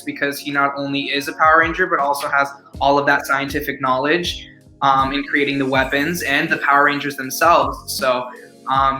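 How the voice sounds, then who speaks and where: A man's voice: medium pitch, bright, forceful tone. A young adult man chatting eagerly as a guest actor in a casual interview, with lots of "like" in his speech. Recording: echoey room.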